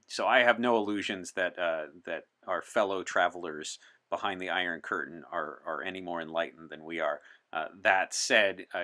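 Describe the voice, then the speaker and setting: A man's voice: low voice, sharp tone. A man speaking as an interview guest in an articulate, explanatory and wry way. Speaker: podcast guest. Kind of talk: conversational interview talk in character as a visitor from 1964.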